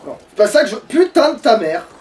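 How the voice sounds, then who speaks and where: High-voiced man muttering, slightly distant. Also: very animated, brisk, darkish tone. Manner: muttering, sweary, thinking aloud. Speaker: player in a let's play. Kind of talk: casual gaming commentary. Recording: distant mic, faint background noise.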